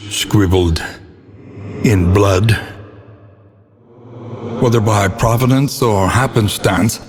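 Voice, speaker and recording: A grim, scholarly older narrator for a gothic horror game. He speaks very slowly in a lowish voice, brooding and solemn, with theatrical weight on ornate phrases. A long reverb trails his words. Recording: long reverb with faint background noise.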